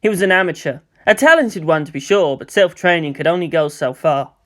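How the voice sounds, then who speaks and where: Animated, lower-voiced woman narrating fiction. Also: brisk. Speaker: audiobook narrator. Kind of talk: expressive story narration.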